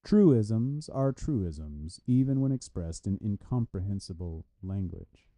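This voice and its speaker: A man with a lowish, very dark and soft-edged voice reads a scholarly article aloud. He follows the written text closely, as a reader of academic prose does. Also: animated, slow. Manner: reads punctuation and citations aloud. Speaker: reader of a translated philosophy article. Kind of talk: read-aloud of an academic book review.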